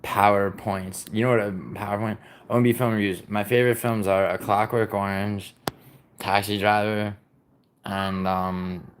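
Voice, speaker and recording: Low-voiced, rambling, foul-mouthed streamer man. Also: flat delivery, a little slow, a little hiss or hum. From born in Italy, raised in New York. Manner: rambling and profane, with many "like" and "I mean" fillers and restarts. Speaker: livestreamer chatting with viewers. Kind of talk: casual live-stream chat, reading out and answering chat messages.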